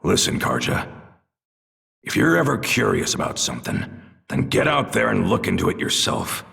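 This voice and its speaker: An actor playing a stern, seasoned warrior mentor in a video game, gruff and blunt as he instructs. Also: lowish voice, sing-song, moderate pace, bright, forceful tone, long reverb tail, far from the mic, heavily acted. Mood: stern, instructive. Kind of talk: video game cutscene voice acting.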